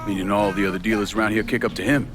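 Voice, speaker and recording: A man's voice: fairly low pitch, steady tone, very fast, over music, natural voice. An actor playing a hardened, vengeful male crime boss in a video game, terse, blunt and streetwise. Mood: cool, wry.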